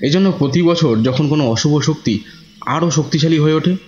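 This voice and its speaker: A man's voice: on the high side, a little fast, darkish tone. A man narrating a scripted horror story in the first person, with dramatic suspense. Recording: roomy, muffled audio with music.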